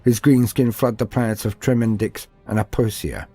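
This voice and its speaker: Deep-voiced man narrating over music. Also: steady tone, normal speed, mellow. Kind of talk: scripted dramatic lore narration.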